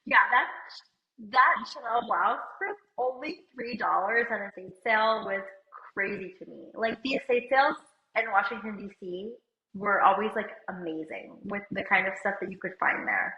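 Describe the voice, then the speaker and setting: A woman's voice: mid-range voice, normal speed. Chatty woman with a cold, roomy sound. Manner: chatty, uses "like" often, laughs lightly. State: has a cold. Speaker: podcast co-host and company CEO. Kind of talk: casual chat. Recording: roomy, somewhat dull sound.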